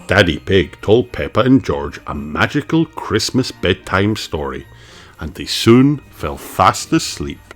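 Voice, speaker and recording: A man reading a children's storybook in an unhurried, expressive way. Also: low voice, soft background music. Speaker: audiobook reader.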